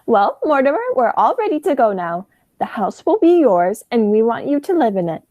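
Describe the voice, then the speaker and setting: A woman's voice: on the high side, very animated, moderate pace, darkish tone, dry recording, dull audio, faint music behind. A young woman playing a sweet, genteel elderly spinster aunt in a radio play, politely dithering. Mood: gentle, chatty.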